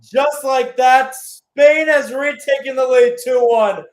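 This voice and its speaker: A man's voice: extremely high pitch, steady tone, slow. A man giving live radio-style play-by-play commentary on a football match, with exclamatory phrasing and rhetorical questions.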